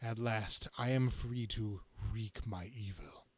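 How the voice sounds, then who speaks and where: A man voicing a gloating, grandiose comic-book supervillain in a halting dramatic reading. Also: lowish voice, slightly rough, dry recording. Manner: grandiose, halting monologue with stumbles. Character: gloating male comic-book supervillain scheming against humanity.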